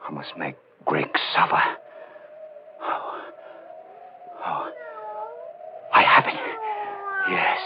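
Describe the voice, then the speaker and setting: Deranged, slow-brooding killer on old radio. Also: male voice, a bass voice, noisy background, heavily acted. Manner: brooding, halting, melodramatic, lurching from murmur to shouting. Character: deranged, obsessive jilted sculptor turned murderer. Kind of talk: 1940s radio horror acting. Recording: muffled old 1940s radio broadcast with music.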